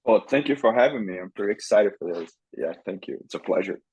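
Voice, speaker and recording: A man from Brazil with a Brazilian Portuguese accent, a podcast guest telling his story in a relaxed, easygoing way. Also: lowish voice, fast, husky, dry recording, dull audio. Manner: relaxed, chuckles often, repeats words as he thinks. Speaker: farrier school director, guest. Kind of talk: casual interview storytelling.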